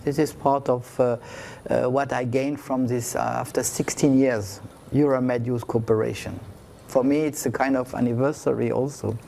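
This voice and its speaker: A man speaking slowly and reflectively, with frequent fillers and halting, non-native phrasing. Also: mid-range voice, fairly even intonation, creaky, dark tone, a little hiss or hum. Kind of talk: reflective speech.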